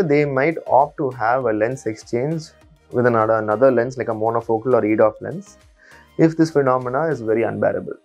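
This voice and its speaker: A man in his thirties, an eye surgeon, calmly and methodically explaining to patients from a small clinic office on a lapel mic. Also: medium pitch. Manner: calm, methodical, steady explainer. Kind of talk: explanatory patient education talk.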